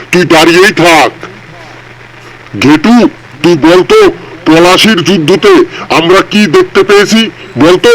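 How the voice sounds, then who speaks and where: Exasperated cartoon schoolteacher acted by a man, high-pitched, sarcastic and scolding. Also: a bit bright, poor audio. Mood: sarcastic anger, then demanding. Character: exasperated, sarcastic male history teacher scolding pupils. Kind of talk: comedy cartoon dialogue.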